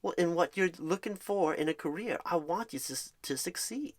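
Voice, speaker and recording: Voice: medium pitch, expressive, moderate pace, close to an everyday voice. A performer playing an upbeat, reassuring job recruiter in a soothing ASMR phone-screen roleplay, talking in a wordy, encouraging, salesy way. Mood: encouraging, persuasive.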